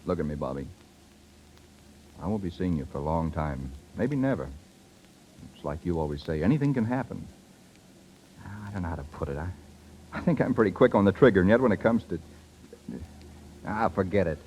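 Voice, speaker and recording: A man's voice: sits low, lively intonation, fairly quick, long pauses, a little mellow. An actor playing a brash, slangy young office man who is tongue-tied about love, 1930s radio style. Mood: awkward, resigned. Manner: slangy, casual. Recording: noisy 1930s radio recording.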